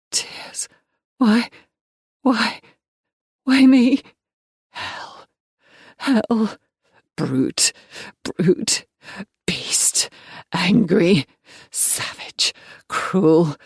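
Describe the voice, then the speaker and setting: A broken, haunted young woman murmurs in an audiobook, voiced by a woman, her voice breathy and close to the mic. She speaks in slow, halting single words, slipping between hushed whispers and sudden cries. The sound is dry and intimate. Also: mid-range voice, dry recording, heavily acted. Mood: anguished. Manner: halting one-word fragments, drifting between whisper and cries. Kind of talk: audiobook inner-monologue performance.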